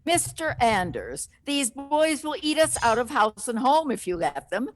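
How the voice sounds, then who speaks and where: Animated, scolding colonial wife. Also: female voice, high-pitched, measured pace. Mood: complaining. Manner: scolding, complaining. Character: grudging, stingy colonial craftsman's wife. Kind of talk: old-fashioned radio play acting.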